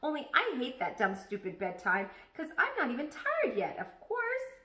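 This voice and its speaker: Woman as a stubborn, blurting little storybook girl, bright ringing tone, very animated, roomy sound. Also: sits high, normal speed, pitched above the performer's own voice, full character voice. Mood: eager, nosy. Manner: blurting, emphatic, childlike phrasing. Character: excitable, nosy, stubborn kindergarten girl narrator. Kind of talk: children's storybook read-aloud. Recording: echoey room.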